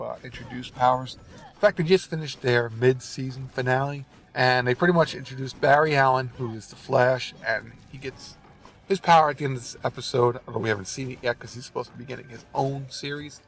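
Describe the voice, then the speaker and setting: Congested man with a gravelly voice. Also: mid-range voice, fairly bright tone. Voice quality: nasal. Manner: rambling, apologetic, unprepared. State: congested from a cold. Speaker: gaming video host. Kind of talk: casual rambling commentary over gameplay. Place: in a car while driving.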